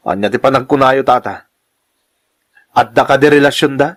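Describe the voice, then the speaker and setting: A man's voice: medium pitch, moderate pace, close to an everyday voice. Man acting a stern, wounded Filipino husband. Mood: suspicious. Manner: stern, accusing questioning. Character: hurt, suspicious husband confronting his cheating wife. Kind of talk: amateur Filipino audio drama acting.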